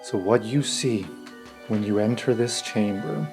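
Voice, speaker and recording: A man improvising descriptive narration as a tabletop game master, conversational and pausing mid-sentence. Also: lowish voice, steady tone. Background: music with plucked guitar.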